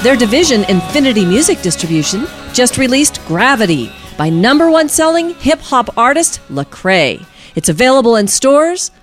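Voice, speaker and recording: A woman's voice: on the high side, sing-song, moderate pace. A woman announcer reading a promotional sponsor message. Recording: music playing behind the voice.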